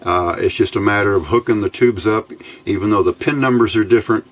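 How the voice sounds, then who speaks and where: Low-voiced American man explaining electronics. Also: a little fast. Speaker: electronics hobbyist host. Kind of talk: informal technical tutorial narration.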